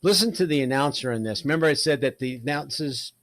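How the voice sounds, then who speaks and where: A man's voice: mid-range voice, fast talker, a bit bright. A man presenting in a casual, wry, joking way, like someone chatting about an old hobby. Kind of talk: casual talk about old shortwave radio.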